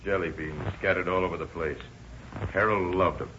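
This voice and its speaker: An actor playing a determined motor cop in an American 1930s radio crime drama. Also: male voice, sits low, fairly even intonation, normal speed, fairly bright tone. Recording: noisy, dull old radio recording.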